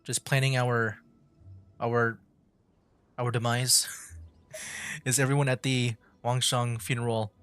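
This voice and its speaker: A woman's voice: a bass voice, little pitch movement, slow, pauses often, smooth. A woman livestreamer giving casual, dry, understated commentary while she plays a game. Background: game music.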